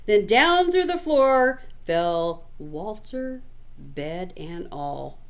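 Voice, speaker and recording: A woman reading a picture book aloud in an animated, expressive way, with chatty asides to the listener. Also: on the low side, extremely slow, vocal fry. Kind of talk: animated storybook read-aloud with casual asides.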